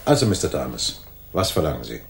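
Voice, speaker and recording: Senior-sounding noir cop: deep register, fair-minded, firm and reasoning, radio play delivery. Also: male voice, big pitch swings, brisk, vocal fry, a little hiss or hum, full character voice. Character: calm, authoritative, fair-minded male police detective. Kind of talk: 1980s German radio crime drama acting.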